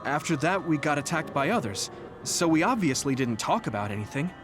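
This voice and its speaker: A man's voice: a little high, animated, a little fast, over music, lightly acted. A man voicing an earnest, determined young platoon commander in a video game, polite and emotive. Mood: puzzled. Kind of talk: video game cutscene acting.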